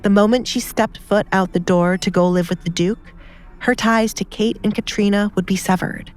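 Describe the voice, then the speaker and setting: A woman's voice: mid-range voice, steady tone, normal speed, a little mellow. A woman reading scripted story narration as an audiobook narrator. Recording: music behind the voice.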